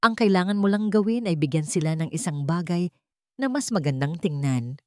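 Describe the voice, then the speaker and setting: A Filipino woman voicing a poised, guarded, mysterious hired companion in a romance audio drama, calm, measured and firm. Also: on the low side, no echo, clean studio sound, lightly acted. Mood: calm, reassuring. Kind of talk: romance radio-drama storytelling.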